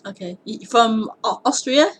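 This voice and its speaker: A woman hosting a live-streamed non-duality sitting, speaking earnestly in halting phrases, often repeating words and breaking into laughter. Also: a little high, lively intonation, breathy edge. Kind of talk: live-streamed spiritual talk and Q&A.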